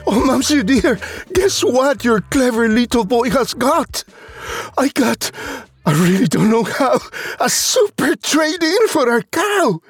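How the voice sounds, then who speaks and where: A man as an eager, high-voiced storybook boy. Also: very animated, takes it slowly, husky, no echo, clean studio sound, heavily acted. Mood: proud, wheedling. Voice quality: high, boyish. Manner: earnest, exclaiming. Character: eager, cheeky, naive young boy.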